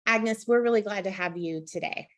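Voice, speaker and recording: A woman's voice: mid-range voice, moderate pace, fairly bright tone. A woman hosting a conversational interview, speaking as the one who asks the questions. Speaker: interview host.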